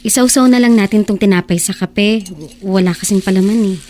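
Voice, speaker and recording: A woman's voice: medium pitch, fairly quick, a little mellow, a little hiss or hum, natural voice. A woman playing a poor, devoted young wife in a radio drama, anxious yet encouraging, with an emotional, coaxing delivery. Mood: coaxing, insistent. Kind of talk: Tagalog radio drama acting.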